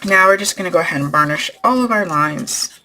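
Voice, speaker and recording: A woman hosting a craft tutorial, losing her voice and clearing her throat as she talks through each step while working. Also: fairly low pitch, brisk, bright, forceful tone, a little hiss or hum, poor audio. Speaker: crafting channel host.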